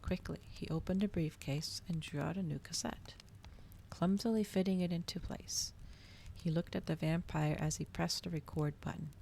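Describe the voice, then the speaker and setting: A woman with a low voice and noticeable vocal fry speaks softly into a close mic in an ASMR style. She moves between storybook narration and cozy streamer chat, often near a whisper, with some noise in the background. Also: steady tone, moderate pace, poor audio. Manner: soft-spoken, often slipping into whispers. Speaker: streamer reading aloud to viewers.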